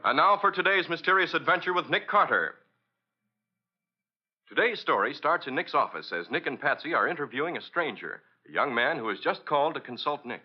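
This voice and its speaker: A man's voice: fairly high pitch, sing-song, fairly quick. A male announcer reading scripted introductions and sponsor copy in an emphatic, polished 1940s radio style. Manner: polished, emphatic sales delivery. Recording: muffled old radio recording.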